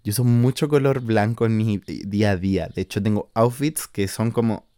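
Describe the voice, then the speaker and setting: Chilean man, low mellow voice, casual monologue. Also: normal speed, smooth, no room sound, studio quality. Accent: Chilean Spanish. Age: 20s. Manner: chatty, slangy, swearing, filler-heavy. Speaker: podcast host. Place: house in the countryside.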